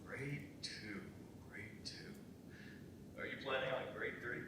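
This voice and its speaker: A man's voice: steady tone, slow, natural voice. A man acting a genial, secretive middle-aged man in a staged dramatic reading, recorded from far off in an echoey room. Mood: intent, secretive. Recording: echoey room, far from mic, rumbly noise.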